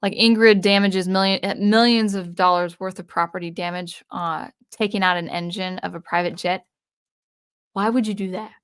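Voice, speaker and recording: A woman's voice: mid-range voice, fairly even intonation, normal speed, a bit bright, clean tone. Chatty woman reading stream comments. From US Northwest. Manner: reads viewer comments aloud and answers them; chatty, with frequent restarts. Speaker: livestream host. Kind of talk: casual livestream chat.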